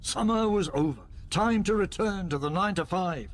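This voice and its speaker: A man's voice: high voice, normal speed, close to an everyday voice. A man playing a loyal, resigned company employee in a radio play, terse and matter-of-fact. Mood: bleak, resigned.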